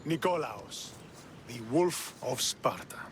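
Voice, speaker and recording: A man's voice: medium pitch, lively intonation, takes it slowly, noisy background. A man playing a proud, disciplined Spartan officer in a video game, formal and commanding. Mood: proud, devoted. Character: proud, disciplined young Spartan officer devoted to his adoptive father. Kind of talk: video game cutscene acting.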